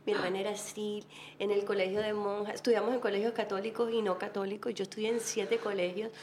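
A woman, a guest telling her life story in a relaxed chat, laughing often. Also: mid-range voice, quick pace, a little hiss or hum. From Colombia. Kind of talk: casual interview chat.

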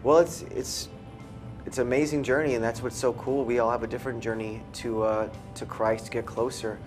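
A man's voice: medium pitch, level tone, a little fast, over music. A man from the United States speaking casually as an interview guest, easygoing and laid-back. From United States, after early childhood abroad. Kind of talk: casual chat.

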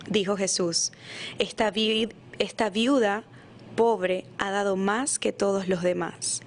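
Gentle woman hosting a faith podcast. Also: mid-range voice, slight background noise. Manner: gentle, affirming with frequent amens. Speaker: podcast host. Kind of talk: Bible reading and interview hosting.

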